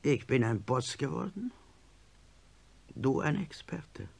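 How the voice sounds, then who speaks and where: An actor playing an ailing, stubborn male industrialist in a radio play, gravelly and husky, speaking with deliberate, sardonic insistence. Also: medium pitch, very animated, a little mellow, exaggerated voice. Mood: defiant, probing. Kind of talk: German radio play acting.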